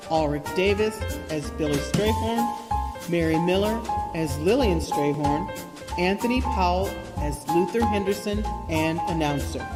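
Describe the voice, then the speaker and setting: A woman narrates in a scripted style, her voice on the low side. It sits in a long reverb with a loud music bed behind it. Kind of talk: scripted narration, show credits.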